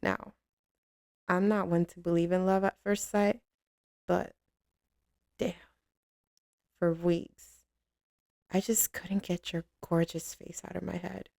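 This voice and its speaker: Low, slow, gravelly mafia queen played by a woman. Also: level tone, darkish tone, no echo, studio quality. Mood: affectionate, reminiscing. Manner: drawling, teasing, streetwise, with pet names. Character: tough, commanding female mafia boss, tender with her boyfriend. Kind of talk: slow, intimate ASMR roleplay.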